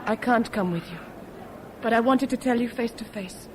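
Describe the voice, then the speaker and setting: A woman's voice: on the high side, moderate pace, background noise, lightly acted. An actress playing a devoted, troubled woman in love, speaking with earnest intimacy in a 1970s radio drama. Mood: troubled, resigned.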